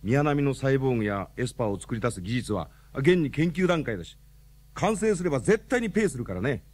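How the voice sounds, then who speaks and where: An actor playing a talkative, excitable young man in a radio drama, knowing, protective and a little exasperated. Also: medium pitch, fast, breathy edge. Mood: explanatory.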